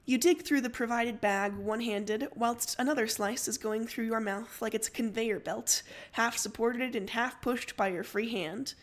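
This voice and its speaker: Narrator: woman, fairly high-pitched, expressive read-aloud fiction delivery. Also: normal speed, few pauses. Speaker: story narrator. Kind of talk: expressive fiction reading on a livestream.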